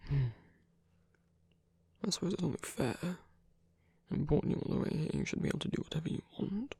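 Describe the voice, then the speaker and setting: Husky, creaky, breathy villain lover, acted out. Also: mid-range voice, dry recording. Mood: tender, yielding. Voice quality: breathy, husky, often whispery. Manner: hesitant, halting, gently wry. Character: villain lover, soft-spoken, weary, tender, chronically in pain. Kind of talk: romantic ASMR roleplay audio drama.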